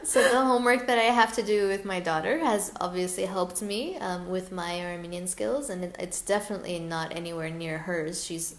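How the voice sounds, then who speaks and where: A woman's voice: on the low side, moderate pace, few pauses. Earnest woman in an echoey room. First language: English. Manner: earnest, animated, many fillers and restarts. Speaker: guest. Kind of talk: live webinar Q&A.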